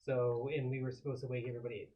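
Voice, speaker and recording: A man's voice: mid-range voice, flat delivery, racing, warm tone, rough recording. A man playing a tabletop roleplaying game, talking casually and asking questions as a player.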